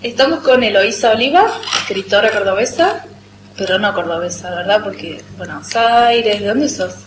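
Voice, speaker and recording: A woman's voice: mid-range voice, expressive, normal speed. An Argentine woman interviewing a writer casually, with friendly short prompts. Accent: Argentine Spanish, rioplatense. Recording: roomy echo with background noise.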